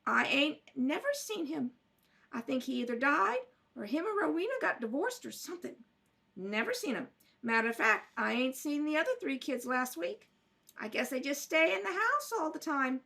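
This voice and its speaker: A woman's voice: high-pitched, sing-song, moderate pace, vocal fry, bright, forceful tone, exaggerated voice. A woman playing a fussy, insistent neighbor with folksy, ungrammatical speech in an amateur radio play. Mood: indignant, insistent. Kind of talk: amateur radio drama read-through.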